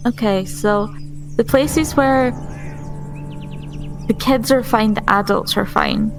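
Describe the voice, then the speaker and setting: Woman player doing roleplay, slow n deliberate, questioning, music in background. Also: on the high side, low-quality recording. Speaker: tabletop game player. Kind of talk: improvised in-character role-play.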